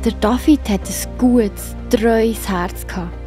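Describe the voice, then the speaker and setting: A woman's voice: fairly high pitch, takes it slowly, mellow. A woman with a Swiss accent telling a Bible story to children as the narrator. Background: music.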